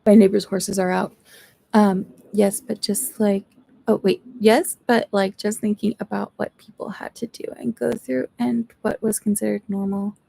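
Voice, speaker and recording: A woman's voice: mid-range voice, level tone, moderate pace, creaky, warm tone, rough recording. A woman who is not a native English speaker, chatting casually and thinking aloud during a live reading session at home. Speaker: book streamer. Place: at home, seated in a chair.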